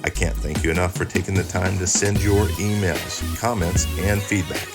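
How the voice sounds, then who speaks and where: Deep voice guy doing a friendly channel outro, faint music under it. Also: fairly even intonation, moderate pace. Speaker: channel host. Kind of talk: scripted sign-off narration.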